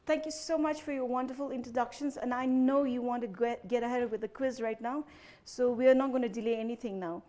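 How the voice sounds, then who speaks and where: A woman's voice: on the high side, fairly even intonation, brisk, few pauses, dark tone. A young adult woman hosting a student quiz, explaining the rules in a chatty way, often saying "you know" and checking that the teams follow. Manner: chatty, frequent "you know" fillers, checks understanding with "okay?". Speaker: quizmaster.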